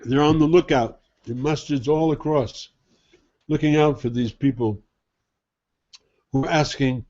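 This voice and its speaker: A man delivers a loose, conversational sermon, pitched a little high for a man but with a soft, mellow tone. He asks rhetorical questions as he teaches. The recording sounds dull and lo-fi. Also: a little slow. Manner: conversational, rhetorical questions, mimics others' attitudes. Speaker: Sufi sheikh teaching. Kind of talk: informal spoken sermon.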